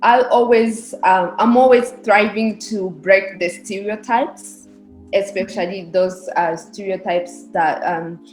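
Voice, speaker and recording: A woman's voice: medium pitch, fairly even intonation, slow pace, darkish tone, soft background music. A young woman from Rwanda, a guest on a podcast, answering earnestly and explaining her views at length. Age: young adult. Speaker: podcast guest, research specialist. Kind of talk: casual interview answers. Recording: echoey room.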